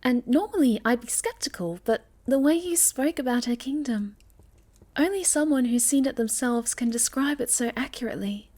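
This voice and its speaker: A woman playing a sweet, shy, gentle moth-girl monster in a soft, halting ASMR roleplay, often slipping toward a whisper. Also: a little high, natural voice. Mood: hesitant, wondering.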